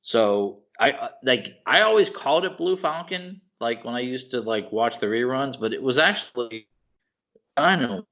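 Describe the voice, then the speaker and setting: A man co-hosting a podcast in casual chat, excitable and rambling, often restarting his sentences. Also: mid-range voice, moderate pace. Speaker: podcast co-host. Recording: dull lo-fi podcast audio.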